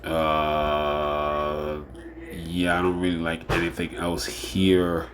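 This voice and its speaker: A man's voice: extremely low pitch, monotone, extremely slow, a bit bright. A man hosting a casual solo fantasy sports preview. He sounds nasal and a bit under the weather, and he rambles with lots of fillers and repeated phrases. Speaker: fantasy sports show host.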